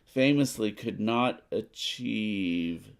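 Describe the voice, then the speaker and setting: A man with a lowish voice and heavy vocal fry co-hosts a board game session. He talks casually with his co-player and reads passages of game text aloud. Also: drawn-out words. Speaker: co-host of board game playthrough.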